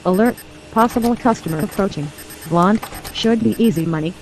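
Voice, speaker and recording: A woman's voice: medium pitch, moderate pace, natural voice. A woman voicing an office alert gadget in an amateur radio drama, with clipped announcements. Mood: terse alert. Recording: very noisy amateur recording.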